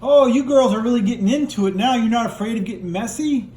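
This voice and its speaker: A man's voice: very high voice, fast talker, warm tone. Playful man putting on toy puppet play, roomy. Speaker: father puppeteering toy ponies for a kids' video.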